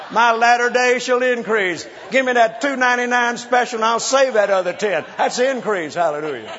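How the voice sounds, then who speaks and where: High-voiced preacher in his sixties. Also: a bit bright, slight background noise. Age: 60s. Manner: exhorting, calls for praise, playful storytelling. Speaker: pastor. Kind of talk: sermon. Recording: muffled sound in a large reverberant hall.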